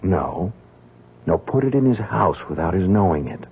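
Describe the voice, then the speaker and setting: Casting: determined, earnest radio-play hero, deep and slightly rough, thoughtful hesitations, tinny vintage sound. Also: male voice, lively intonation, moderate pace, exaggerated voice. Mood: resolute. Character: earnest, determined young man dabbling against occult forces. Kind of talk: 1970s radio drama acting. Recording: muffled, narrow-band 1970s radio recording.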